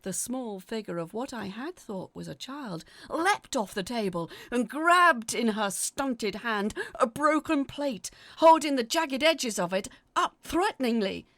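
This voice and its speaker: A woman reading a scripted first-person horror story in a theatrical, expressive way, as its dramatic narrator. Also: a little high, measured pace, hardly any pauses, dry recording.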